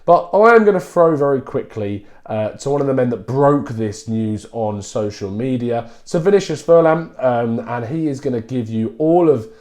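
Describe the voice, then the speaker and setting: A man's voice: mid-range voice, animated, moderate pace, few pauses, a little mellow. Chatty male football show host. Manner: chatty, self-deprecating asides. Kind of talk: casual presenting to camera.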